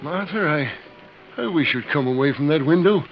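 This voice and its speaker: A man's voice: a little high, lively intonation, fairly quick. A man playing a weary but firm husband and father in an old radio melodrama. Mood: firm, pained. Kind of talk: 1930s-50s radio melodrama acting. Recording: muffled, hissy old radio recording.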